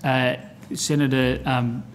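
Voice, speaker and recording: A man's voice: medium pitch, little pitch movement, slow pace, a little hiss or hum. A man giving formal testimony as an official, careful, hesitant and deferential. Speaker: official answering at a hearing. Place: committee hearing room.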